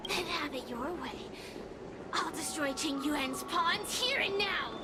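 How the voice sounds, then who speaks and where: A menacing ghostly spirit in a video game, high-voiced and seductive. She taunts with arrogant, imperious poise over music. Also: animated, slow pace. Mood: threatening.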